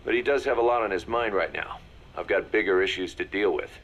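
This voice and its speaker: A man's voice: lowish voice, a little fast, a bit bright, a little hiss or hum. A man playing a shrewd, hard-nosed mercenary second-in-command, assured and businesslike, in a video game audio drama. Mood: cool, pragmatic.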